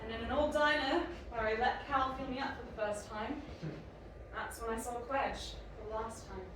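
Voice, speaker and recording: A high-pitched young woman acted by a woman in an audio drama, an anguished clone convinced of her own humanity. The recording is heavily reverberant, with the voice set back from the mic and clear noise underneath. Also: steady tone, moderate pace, natural voice. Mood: somber narration. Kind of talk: audio drama acting and first-person narration. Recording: very echoey, distant mic, noisy.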